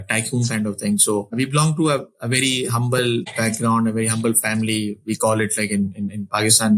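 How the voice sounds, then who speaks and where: A man's voice: lowish voice, low-quality recording. A man in his forties, raised in Saudi Arabia, telling his career story as an interview guest in an earnest way, often restarting and repeating words. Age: 40s. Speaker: finance executive guest.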